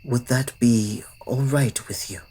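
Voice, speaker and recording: A man playing a courtly, smitten old-world vampire in an intimate ASMR roleplay, with formal phrasing that often slips into breathy whispers. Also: mid-range voice, measured pace, mellow. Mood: courteous, hopeful. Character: courtly, old-fashioned adult male vampire, yearning, polite, smitten. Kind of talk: ASMR roleplay audio drama addressed to the listener.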